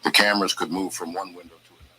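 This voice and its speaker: A man narrating a countdown of inventions in a steady documentary reading. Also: deep voice, rapid-fire, slight background noise, rough recording. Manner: steady list-style reading.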